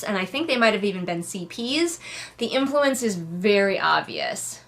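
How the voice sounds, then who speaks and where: A woman's voice: medium pitch, fairly bright tone. A woman giving casual, sarcastic commentary as she hosts a book readalong, in a wry, exasperated, conversational way.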